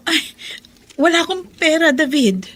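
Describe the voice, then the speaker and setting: Shrill pleading battered wife. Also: female voice, lively intonation, normal speed, a bit bright. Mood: frightened, evasive. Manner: plaintive, frightened, pleading. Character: poor battered laundrywoman mother, pleading. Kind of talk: melodramatic radio drama acting.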